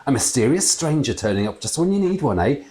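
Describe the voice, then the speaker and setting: A man voicing a ghostly father in a storybook, rambling, fussy and excitable. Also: medium pitch, quick pace, pitched above the performer's own voice, natural voice. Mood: theatrical, eager. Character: chatty, grumbling ghost father with theatrical tastes.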